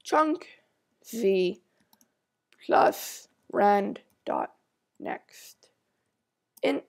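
A woman with a stuffy, congested voice, talking at an unhurried pace through a coding tutorial. Her voice has a gravelly edge of fry as she reads out code while typing and thinks aloud between steps. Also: mid-range voice, animated. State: stuffy nose. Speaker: tutorial maker.

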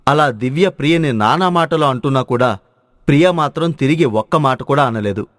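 Man narrating, mid-pitched and dry. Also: expressive, normal speed, a bit bright, no room sound. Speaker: story narrator. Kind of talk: scripted moral story narration.

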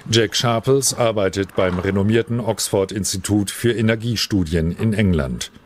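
A man narrating a scripted TV news documentary in a measured, even delivery. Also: fairly low pitch, slight background noise.

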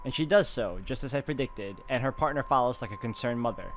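A man's voice: medium pitch, expressive, fairly quick. A man playing through a visual novel, reading the text aloud and adding dry, joking asides. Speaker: game walkthrough host. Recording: game music behind.

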